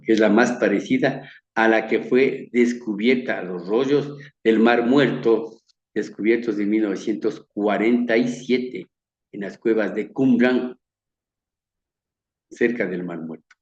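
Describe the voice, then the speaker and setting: A man from Ecuador with a Quito accent gives a measured, earnest Bible lecture over pictures, often repeating words and letting his phrases fade softly. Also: fairly low pitch, reverberant, muffled recording. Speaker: Bible teacher. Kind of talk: slow sermon-like slideshow lecture.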